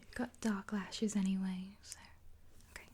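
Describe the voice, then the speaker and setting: A woman in her twenties whispering gently and unhurriedly in a casual, rambling ASMR roleplay, close to the mic. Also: mid-range voice, flat delivery, moderate pace. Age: 20s. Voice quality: breathy whisper. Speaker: ASMR roleplay performer as makeup artist. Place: small indoor room, dark backdrop.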